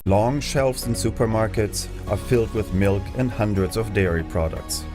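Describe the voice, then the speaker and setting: A man reading scripted documentary narration in a measured, polished way. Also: on the low side, music in the background.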